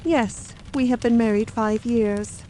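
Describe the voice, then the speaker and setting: A woman acting out a composed, guarded wife in a period mystery audio drama. Her voice sits fairly high, and she speaks in a measured, earnest, formal way over some background noise. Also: fairly even intonation, mellow, close to an everyday voice, rough recording.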